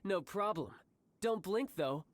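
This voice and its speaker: A man's voice: sits high, expressive, slow. An actor playing a cocky, playful young man in an anime game, with a breezy, theatrical delivery. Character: cocky, playful young male warrior posing as toy salesman.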